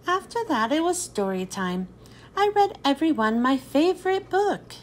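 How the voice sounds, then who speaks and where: High, lively woman reading a picture book. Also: normal speed, a little hiss or hum. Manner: expressive storytelling read-aloud. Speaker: children's book reader.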